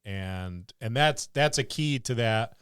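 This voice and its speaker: A man's voice: medium pitch, lively intonation, slow pace, fairly bright tone, clean tone, no echo, clean studio sound. A man co-hosting a podcast, talking casually about running tabletop games. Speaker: podcast co-host. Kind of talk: casual tabletop gaming discussion.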